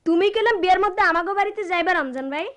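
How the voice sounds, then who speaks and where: A chirpy, eager child in a village radio play. The voice is piercingly high and fast, with a rural Bangladeshi accent. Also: female voice, fairly bright tone. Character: chirpy young child helping goldsmith father. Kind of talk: Bengali radio play acting.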